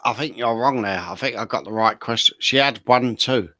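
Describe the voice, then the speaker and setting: A man's voice: medium pitch, moderate pace, pushed and bright, dry recording. A man playing along as a quiz contestant, bantering casually and stubbornly protesting his case.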